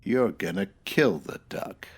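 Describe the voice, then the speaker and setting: An actor playing a wry, world-weary private eye in 1940s radio noir style, dry and clipped. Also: male voice, sits low, animated, unhurried, creaky, very noisy, heavily acted. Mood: grim, narrating.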